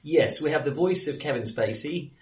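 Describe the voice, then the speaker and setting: A man hosting a film podcast in casual conversation, speaking haltingly as he searches for his words. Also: fairly high pitch, expressive. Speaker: podcast host. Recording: roomy echo, distant from microphone.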